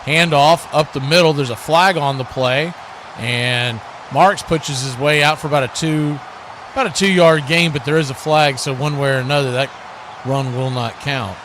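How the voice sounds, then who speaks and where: A man's voice: on the high side, moderate pace, a little hiss or hum. A man doing live football radio play-by-play in short, clipped, conversational calls. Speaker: play-by-play announcer.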